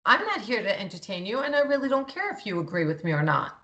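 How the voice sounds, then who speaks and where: A woman's voice: mid-range voice, big pitch swings, quick pace, bright, forceful tone. A woman co-hosting a talk show, speaking bluntly and passionately, swearing freely. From Greek heritage. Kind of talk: heated political talk.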